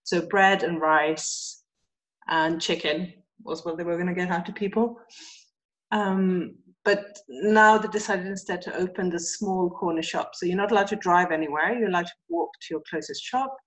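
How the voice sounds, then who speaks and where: A woman from Sweden, a guest in a casual chat over a remote call, speaking in a relaxed way with soft laughs and hesitant ums. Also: lowish voice, moderate pace, rough recording.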